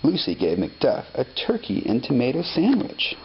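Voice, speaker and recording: A man narrating a children's story expressively, reading deliberately phrase by phrase. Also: mid-range voice, wildly swooping pitch. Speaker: storybook reader. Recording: dull lo-fi sound, faint noise.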